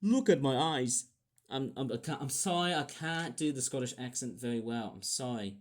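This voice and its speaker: A man's voice: medium pitch, expressive, normal speed. A young man casually reviewing a toy train set, rambling and apologetic, with many fillers.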